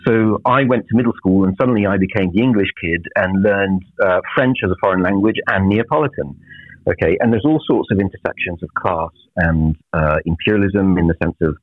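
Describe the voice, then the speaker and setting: A middle-aged man from Naples, a professor answering interview questions in a measured, reflective way with many filler pauses. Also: low voice, dry recording. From Naples, Italy. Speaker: professor, guest. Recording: tinny phone-like line.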